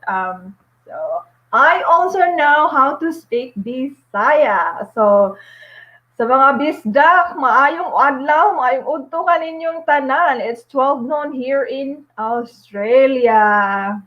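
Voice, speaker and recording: A woman's voice: sits high, expressive, slow. A woman in her forties, a nurse and vlogger chatting on a casual live stream at home, upbeat, with many fillers and little laughs. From Philippines. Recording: dull lo-fi stream audio.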